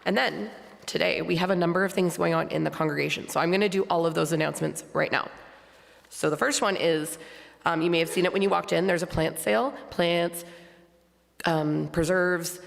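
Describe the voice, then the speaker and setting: Woman, hoarse and heavily gravelly, lowish pitch, casual announcer at a congregation gathering. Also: a little fast. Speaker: congregation board member making announcements. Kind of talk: informal spoken church announcements. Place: large church sanctuary. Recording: long hall reverb from church sound system.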